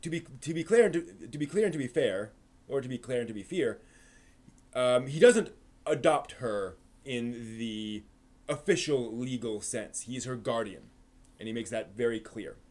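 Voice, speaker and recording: A man with a mid-pitched voice speaks as a live stream host. He talks informally through a review, backing up to reword his points as he goes. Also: expressive, normal speed, a little mellow. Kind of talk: casual live review monologue.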